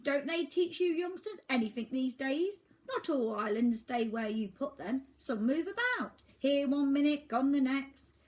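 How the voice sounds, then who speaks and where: A woman voicing a grumpy, creaky-voiced old male albatross in a storybook reading, with a haughty, grumbling manner. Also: high voice, animated, unhurried, few pauses, darkish tone, pitched above the performer's own voice. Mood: lecturing.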